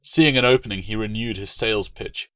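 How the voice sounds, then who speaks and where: A man's voice: lowish voice, brisk, fairly bright tone, smooth, dry recording. A man reading scripted audiobook narration as a storyteller. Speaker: author reading own novel as narrator.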